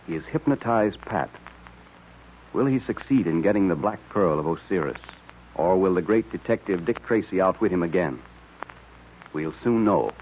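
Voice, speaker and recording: An American man announcing on a 1940s radio show in an emphatic, enthusiastic sales style with a husky voice. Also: sits low, slight background noise. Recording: tinny vintage radio recording.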